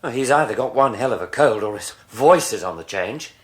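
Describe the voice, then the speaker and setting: An actor playing a concerned, inquisitive family friend in a British radio drama, with an earnest, questioning manner. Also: male voice, medium pitch, lively intonation, fairly quick, close to an everyday voice. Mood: concerned, puzzled. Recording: faint noise on radio broadcast.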